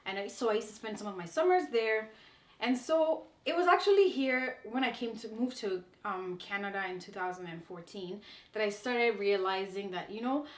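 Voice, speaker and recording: A woman's voice: mid-range voice, normal speed, roomy sound. A young woman from Nigeria, the guest, talking casually and playfully about her upbringing, laughing often. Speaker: interview guest. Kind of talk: casual conversational interview. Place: indoor room, moved to Canada.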